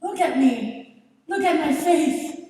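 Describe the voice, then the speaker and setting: A woman's voice: high voice, expressive, slow, warm tone. A woman playing a distressed young beauty aged into an old woman, earnest and pleading in a stage play. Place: large indoor theater stage. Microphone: distant camera. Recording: echoey theater stage from far off.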